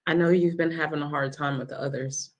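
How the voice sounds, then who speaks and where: A woman's voice: sits low, fairly even intonation, fairly quick, dull audio. A woman playing a caring, reassuring nurse on evening rounds in a dramatic table read.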